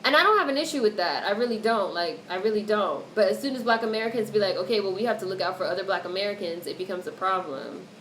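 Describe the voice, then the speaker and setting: A woman's voice: medium pitch, fast, hardly any pauses, fairly bright tone, rough recording. A woman hosts a casual livestream, talking back to the chat in a mid-range voice. She is blunt and opinionated and swears freely. Some background noise sits behind her voice. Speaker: livestream host reading and answering chat comments.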